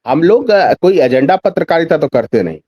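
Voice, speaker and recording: A man's voice: a little high, big pitch swings, moderate pace, breathy edge, no echo, muffled recording. A man speaking as a journalist guest in a news discussion, giving emphatic political commentary with repeated phrases and rhetorical questions.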